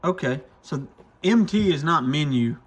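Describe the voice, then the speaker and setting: A man's voice: a little high, animated, measured pace, breathy edge. A man hosting a casual hands-on product demo, thinking aloud informally as he tinkers. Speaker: radio shop reviewer and video host.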